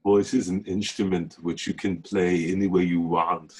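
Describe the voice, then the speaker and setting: A young man playing a smooth-talking online dating deceiver in a script read-through over a video call. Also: low-pitched, little pitch movement, moderate pace, a little mellow. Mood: teasing, unapologetic. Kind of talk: actor reading a script at a table read.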